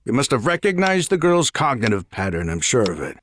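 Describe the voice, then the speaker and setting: An actor playing an excitable, pleading male accomplice in a video game, talking with hurried insistence. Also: mid-range voice, slight background noise. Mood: eager, urgent. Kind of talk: dramatic video game acting.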